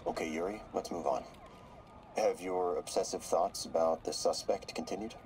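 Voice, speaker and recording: An actor playing a middle-aged male therapist in a video game, measured and professional but nervous under pressure. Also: deep voice, steady tone, a little mellow, slight background noise, lightly acted.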